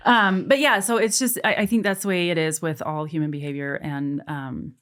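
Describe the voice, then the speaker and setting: A woman's voice: deep voice, steady tone, normal speed, fairly bright tone. A woman in her forties, a guest on a podcast, talking in an earnest, reflective way and often repeating words as she thinks. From United States, military family. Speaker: guest, adoptive mother and author. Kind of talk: casual podcast conversation.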